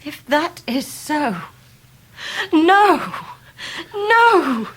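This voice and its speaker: An actress playing a devoted, dignified young Victorian wife in a period radio drama, fervent and formal in delivery. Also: female voice, high-pitched, sing-song, extremely slow, a bit bright, heavily acted. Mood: pleading, distressed.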